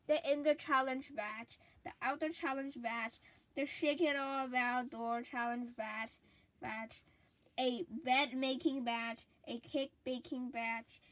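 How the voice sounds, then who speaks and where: A woman with a high voice reads a children's story aloud. She takes her time over the words, very slowly and deliberately, giving the narration an expressive shape as a storyteller would. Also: dry recording, rough recording.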